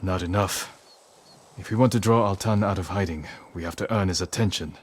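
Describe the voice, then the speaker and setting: An actor playing a stoic, resolute noble samurai lord in a dramatic video game. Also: male voice, deep voice, fairly quick, a little hiss or hum, lightly acted. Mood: determined.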